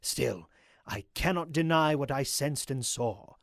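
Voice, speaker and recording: A man's voice: mid-range voice, lively intonation, measured pace, creaky, dry recording, studio quality. A man playing a zealous, courteous pony cult leader in an audio drama, speaking in a formal, grandiose way. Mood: reverent, exultant.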